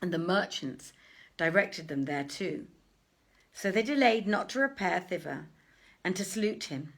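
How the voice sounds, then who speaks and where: A woman reading a literary tale aloud as a storyteller, theatrical and deliberate, with dramatic pauses and swells. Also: on the low side. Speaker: storyteller, reader.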